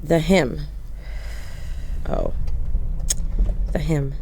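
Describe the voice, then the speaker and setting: A woman's voice: deep voice, big pitch swings, drawn-out words, gravelly, warm tone, a little hiss or hum, heavily acted, poor audio. An actress playing a lonely woman driving at night, confiding in a wry, digressive monologue for an audio horror drama. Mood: wry, rambling. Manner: wry, digressive, musing, breaking off into asides. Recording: music under the voice.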